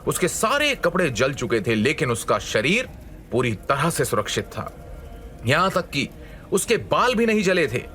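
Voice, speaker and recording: A man's voice: fairly high pitch, very animated, normal speed, bright, forceful tone, soft background music. A man narrating a scripted dramatic story as a storyteller, in a suspenseful manner.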